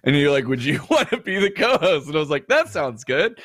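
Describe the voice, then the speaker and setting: A man's voice: on the high side, big pitch swings, brisk, a bit bright, no room sound. A man in his thirties, a show co-host, chatting casually on a livestream with frequent fillers and laughs.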